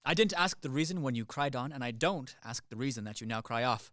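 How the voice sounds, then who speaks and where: A man's voice: mid-range voice, lively intonation, fairly quick, a bit bright, no room sound, clean studio sound, natural voice. A man acting a dryly polite, pragmatic career soldier in a radio play, matter-of-fact and placating. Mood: cool, practical.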